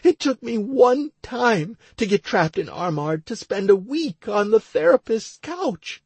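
A man's voice: high-pitched, sing-song, a little slow, darkish tone, breathy edge, dry recording, pitched above the performer's own voice, full character voice. A man voicing an anxious, tearful wife in an audiobook, pleading urgently and emotionally. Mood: tearful, frantic. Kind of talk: audiobook character dialogue.

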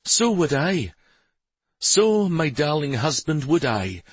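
A man acts out a young noblewoman from a fantasy audiobook. She is loving, teasing and hungry for adventure. Her voice sits high and swings expressively, with a slightly husky edge. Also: sing-song, takes it slowly, no room sound, heavily acted. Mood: yearning, playful. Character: adventurous, reassuring young noblewoman, a devoted wife.

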